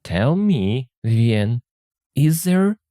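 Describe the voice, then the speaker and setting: A man reading aloud the part of an insistent, commanding suitor in a story, earnest and deliberate. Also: mid-range voice, sing-song, dark tone, no echo, clean studio sound. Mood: suspicious. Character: masterful, insistent suitor pleading for marriage. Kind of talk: story read aloud for learners.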